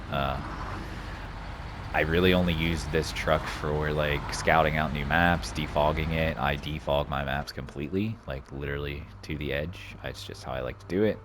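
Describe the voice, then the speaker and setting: A man's voice: rumbling low, noisy background. A man giving casual, off-the-cuff commentary as he plays a game, rambling hesitantly and repeating himself. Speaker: gaming video host.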